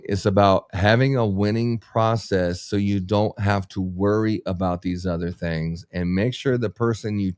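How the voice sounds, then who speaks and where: A man's voice: fairly low pitch, no echo, studio quality. A man who owns a business, talking blunt and rambling in a casual podcast chat and swearing freely as he gives advice. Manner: blunt, profane, rambling, motivational. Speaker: business owner and podcast host.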